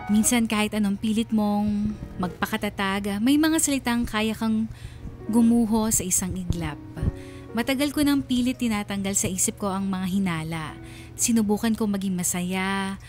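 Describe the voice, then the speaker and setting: A woman's voice: mid-range voice, lightly acted. A woman playing a wounded letter writer, narrating her painful story reflectively in a radio drama. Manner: reflective, sorrowful. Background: background music.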